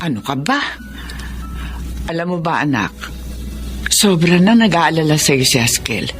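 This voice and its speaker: An actress playing a worried, doting middle-aged mother in a Filipino radio melodrama, expressive and pleading. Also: low-pitched, unhurried. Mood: earnest, persuading. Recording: drama with music bed behind.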